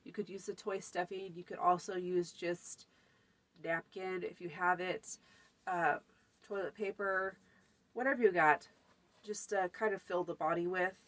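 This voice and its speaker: A woman's voice: lowish voice, steady tone, measured pace. A woman hosting a folk magic channel, talking casually through a how-to with a stuffy, runny nose and lots of fillers. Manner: chatty, many fillers, lists items. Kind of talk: casual spoken tutorial.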